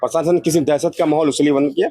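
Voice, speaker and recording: A man's voice: fairly high pitch, steady tone, rapid-fire, warm tone. A man from India speaking as a local resident in a street interview, making earnest, repetitive and emphatic appeals. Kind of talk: street interview, opinion statement.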